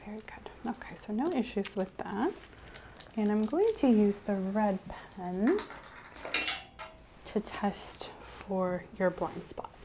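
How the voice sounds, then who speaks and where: A woman speaking softly as the examiner in an ASMR medical role-play, gently explaining each step in a reassuring way. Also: mid-range voice, takes it slowly, long pauses, background noise. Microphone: clip-on mic.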